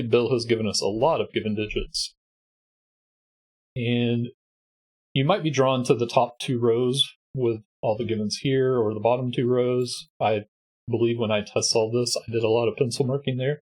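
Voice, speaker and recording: A man with a congested, husky voice, calmly walking through a sudoku puzzle step by step as host. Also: mid-range voice, normal speed, poor audio. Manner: patient step-by-step explaining. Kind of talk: sudoku walkthrough.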